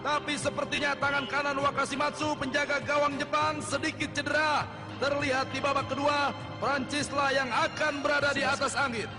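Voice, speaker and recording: A man voicing an excited cartoon soccer commentator, announcing each play with dramatic urgency. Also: very high-pitched, moderate pace. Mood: urgent reporting. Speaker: sports commentator. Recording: loud music over the voice.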